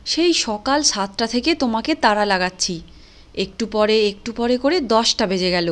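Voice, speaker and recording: Woman acting as a high-voiced, caring housewife. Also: normal speed, fairly bright tone, close to an everyday voice. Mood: exasperated. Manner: nagging yet protective. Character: caring, exasperated housewife, talkative and kindly. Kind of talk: cartoon voice acting.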